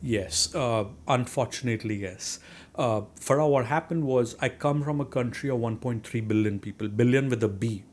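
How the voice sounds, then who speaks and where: A man's voice: medium pitch, moderate pace, darkish tone, a little hiss or hum. A middle-aged man from India with a strong Indian accent, a guest speaking earnestly in an interview, with many "you know" and "right?" fillers. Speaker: real estate investor guest. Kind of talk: conversational interview.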